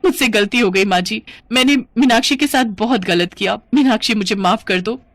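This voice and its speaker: A woman's voice: fairly high pitch, normal speed, no echo, lightly acted. A woman voicing a scheming, jealous elder sister-in-law in a moral story, with a sly manner. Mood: panicked.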